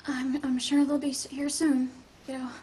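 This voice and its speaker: A woman acts out an earnest older girl in a science fiction drama, leading stranded kids. Her voice is notably high. She speaks as someone under strain, hesitant at times. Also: little pitch movement, normal speed, mellow, lightly acted. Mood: uneasy. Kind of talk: table read of a science fiction script.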